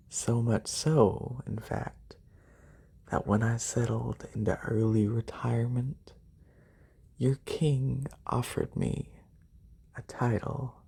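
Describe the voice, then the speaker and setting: A man playing a haughty, demanding lamia noble in a soft-spoken ASMR roleplay, formal and condescending, with a breathy, husky voice close to a binaural microphone. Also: mid-range voice, exaggerated voice. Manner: condescending, formal, drawn-out delivery. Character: haughty wealthy lamia noble, demanding serpent-bodied employer.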